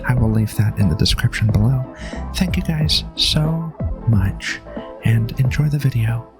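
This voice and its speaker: A man hosts an ASMR channel with a soft announcement. His mid-pitched voice has a lot of vocal fry, and music plays clearly behind him. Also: animated, unhurried.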